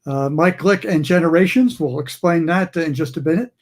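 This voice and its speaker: A man hosting an online music interview show, speaking haltingly with many fillers. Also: fairly high pitch. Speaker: host.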